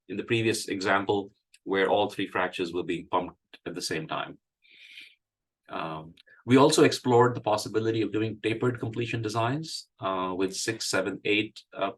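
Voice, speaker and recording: A man with a cold, hoarse, giving a halting technical presentation with many fillers. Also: lowish voice. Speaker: engineering presenter. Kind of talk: technical presentation at an online meeting.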